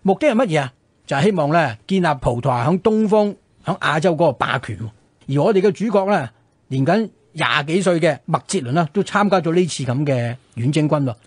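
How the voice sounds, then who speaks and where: Fast, husky Hong Kong Cantonese radio host, male. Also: on the high side, lively intonation, no room sound. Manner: frequent colloquial sentence-final particles. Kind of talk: conversational history storytelling. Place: radio studio.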